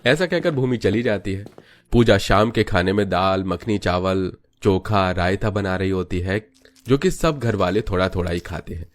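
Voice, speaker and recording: A man's voice: deep voice, normal speed. A man narrating a scripted cartoon story as its storyteller.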